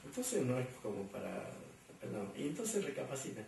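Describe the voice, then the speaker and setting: A man's voice: medium pitch, sing-song, moderate pace, creaky, a little mellow. A man with an Argentine accent, answering interview questions in a rambling way, with many fillers and repeated words. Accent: Argentine Spanish. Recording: echoey room, distant mic, faint hum.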